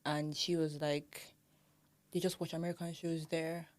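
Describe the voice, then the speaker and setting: A young Nigerian woman chats on a podcast with a slightly low voice. Her talk is animated and full of laughter. She speaks with a Nigerian accent. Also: measured pace. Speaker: podcast co-host. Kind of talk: casual chat.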